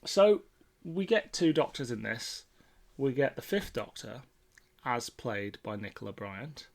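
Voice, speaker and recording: Podcast host, male, mid-pitched voice, jokey and conversational delivery. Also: very animated, a little slow, gravelly. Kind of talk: casual podcast chat about a TV franchise.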